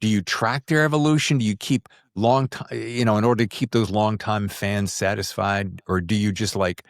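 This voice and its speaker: A man's voice: fairly low pitch, fairly even intonation, moderate pace, creaky, no echo, clean studio sound. A man hosting a conversational podcast interview, talking in a chatty, effusive, informal way. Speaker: podcast host.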